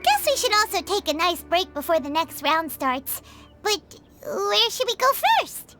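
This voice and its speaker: A woman voicing a small, childlike, cheeky fairy companion in a video game, squeaky and exclamatory. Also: lively intonation, measured pace, over music. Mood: puzzled. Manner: exclamatory, talks of self in third person.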